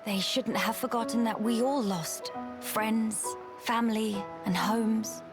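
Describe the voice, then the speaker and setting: A woman's voice: a little high, steady tone, over music. A woman playing a poised, earnest young noblewoman in a video game, speaking in formal, polite phrases. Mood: earnest, resolute. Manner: formal, polite, measured wording. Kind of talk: video game cutscene acting.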